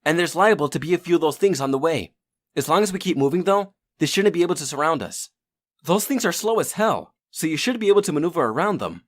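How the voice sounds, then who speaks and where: Guy playing streetwise young survivor in a comic dub, watchful, voice a bit high and swings around a lot. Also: animated, quick pace, natural voice. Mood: urgent, cautioning. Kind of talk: dubbed comic drama acting.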